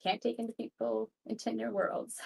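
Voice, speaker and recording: A woman's voice: a little high, fairly even intonation, normal speed, vocal fry, darkish tone, husky, low-quality recording. A woman playing in a tabletop roleplay, bantering casually in character.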